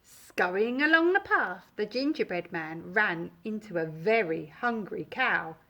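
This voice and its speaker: Lady reading a kids storybook, slow and dramatic, voice swoops all over. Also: medium pitch, expressive, sharp tone. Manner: dramatic, emphatic. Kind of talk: expressive children's storybook reading.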